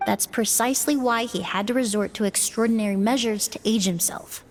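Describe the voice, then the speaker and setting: A woman voicing a sharp, analytical young female investigator in a game, explaining in a calm, measured way. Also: fairly high pitch, faint music behind, lightly acted. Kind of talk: voiced game dialogue.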